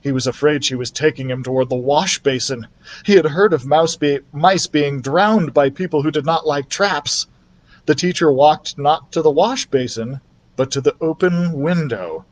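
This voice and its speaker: Higher-pitched man reading a children's story. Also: moderate pace. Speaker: library storyteller. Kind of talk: expressive children's audiobook-style narration.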